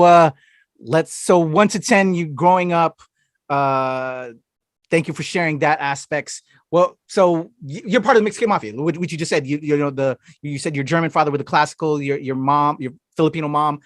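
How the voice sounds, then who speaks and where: A male podcast host asking halting questions and gushing with affirmations. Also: a little high, no echo, studio quality. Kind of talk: casual podcast interview.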